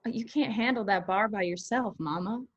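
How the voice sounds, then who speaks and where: A woman acts a wounded grown daughter from a country bar family. She is sarcastic and dry, with a bite in her delivery and a medium-pitched voice. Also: normal speed, dull audio, close to an everyday voice. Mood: exasperated, wry. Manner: dry, biting. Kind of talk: screenplay table read.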